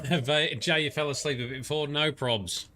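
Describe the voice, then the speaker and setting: A man giving casual, chatty commentary on a livestream while playing a game and talking to his viewers. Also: a little high.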